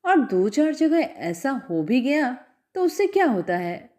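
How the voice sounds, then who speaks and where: A worried storybook mother speaks with soft, resigned concern, voiced by a woman narrator. Her tone is mellow and warm, her pitch moving with lively feeling. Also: takes it slowly, heavily acted. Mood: rueful. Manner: soft, rueful advice. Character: worried middle-aged mother, gentle and resigned.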